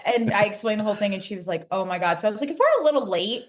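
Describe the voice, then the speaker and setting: A woman's voice: medium pitch, animated, very fast. A woman talking casually as an interview guest, telling stories and acting out bits of dialogue. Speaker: podcast guest, cornhole player.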